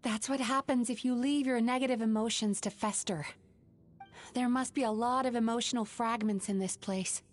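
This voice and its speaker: Actress as a high-voiced, earnest young heroine. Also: female voice, fairly even intonation, moderate pace, close to an everyday voice. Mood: calm, explanatory. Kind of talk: video game voice acting. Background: background music.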